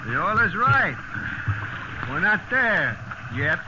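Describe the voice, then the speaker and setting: Slow, firm shipwrecked seaman, muffled. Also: high-pitched, very animated, full character voice. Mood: sober. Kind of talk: 1950s radio drama acting. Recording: muffled old radio, loud water noise.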